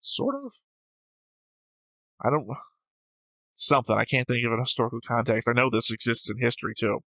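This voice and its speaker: Man, forceful bright voice, very dry close sound, casual show host, argumentative, goes off on tangents. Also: medium pitch, moderate pace, dry recording. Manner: argumentative, digressive, self-correcting. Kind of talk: casual discussion, reading out viewer comments.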